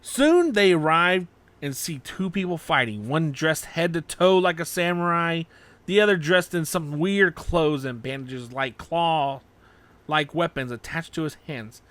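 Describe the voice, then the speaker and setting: High, bright-voiced male story narrator. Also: lively intonation, unhurried. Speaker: fan fiction narrator. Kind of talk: dramatic audiobook narration.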